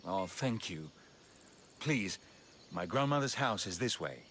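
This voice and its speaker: An actor playing a kind, earnest village physician weighed down by worry, in a video game cutscene. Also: male voice, low voice, lively intonation, measured pace, background noise. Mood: hesitant, worried.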